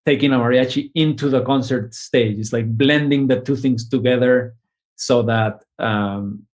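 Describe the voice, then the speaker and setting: A man speaking, at a middle pitch for a man. He talks about his work in a thoughtful, searching way and often restarts phrases. His sentences tend to end on a questioning "no?". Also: fairly even intonation, normal speed. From Mexico. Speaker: composer. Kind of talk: conversational interview.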